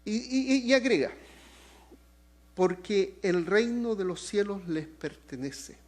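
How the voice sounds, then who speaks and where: A man from Chile with a Chilean accent, preaching a sermon with lively swings in pitch and loudness and joking asides to the congregation. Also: takes it slowly. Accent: Chilean Spanish. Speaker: pastor.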